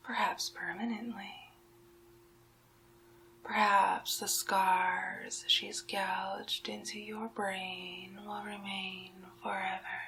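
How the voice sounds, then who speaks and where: A woman playing a sly, possessive medieval witch in a hushed, drawn-out, teasing ASMR roleplay. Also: medium pitch, slight background noise, exaggerated voice, rough recording. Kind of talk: ASMR roleplay, soft speaking and whispering.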